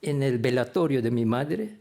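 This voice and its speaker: An older man from the Philippines, a theology professor, giving a prepared talk of career anecdotes in a halting, hesitant way with frequent pauses. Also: medium pitch, lively intonation, vocal fry, warm tone.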